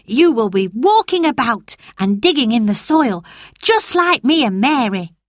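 Woman doing a cheerful country boy, steady and encouraging, lively lilt, dry sound. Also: on the high side, measured pace, no room sound, voice pushed up. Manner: bright, reassuring and firm. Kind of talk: storybook character voice.

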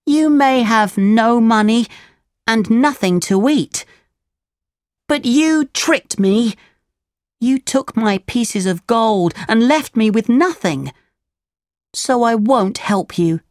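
A plucky little wooden puppet boy, acted out by a woman for a children's storybook. The voice is high and very lively, with earnest feeling and an unhurried delivery. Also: dry recording, studio quality. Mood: defiant. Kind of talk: children's storybook character reading.

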